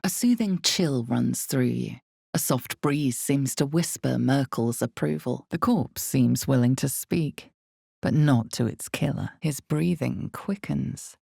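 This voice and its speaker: A woman playing an ominous, knowing narrator of a dark fantasy game, deliberate and suspenseful, with dramatic pauses and a breathy edge. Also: a bass voice, expressive, no room sound, clean studio sound, heavily acted. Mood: ominous, hushed.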